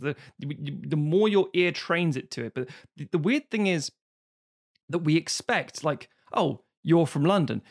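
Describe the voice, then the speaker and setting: A man co-hosting a podcast, ranting in casual chat and swearing freely. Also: a little high. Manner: swears freely, animated rants. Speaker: podcast co-host.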